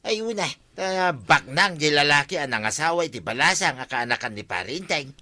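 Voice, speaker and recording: A man's voice: fairly high pitch, expressive, fairly quick, pushed and bright. An actor playing a scheming, food-greedy village man in an Ilocano radio comedy drama, with an Ilocano accent. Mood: greedy, chatty. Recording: music under the dialogue.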